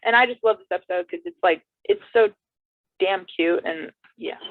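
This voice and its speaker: A woman's voice: on the low side, normal speed, dry recording. A woman hosting a casual video chat, talking with enthusiasm. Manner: enthusiastic, chatty. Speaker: podcast host. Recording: tinny phone-like dry line.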